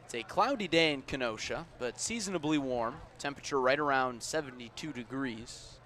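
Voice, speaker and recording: A man calling live baseball play-by-play on the radio, with measured phrasing and rising emphasis on plays. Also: fairly high pitch. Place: outdoors at a baseball stadium. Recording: outdoor ballpark ambience, steady background noise. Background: outdoor ambient noise.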